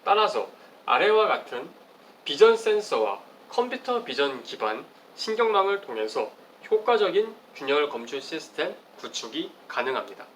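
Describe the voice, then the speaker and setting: A man's voice: a little high, big pitch swings, moderate pace, a bit bright. A man delivers a formal academic presentation as a research presenter, explaining phrase by phrase. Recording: distant mic, faint background noise.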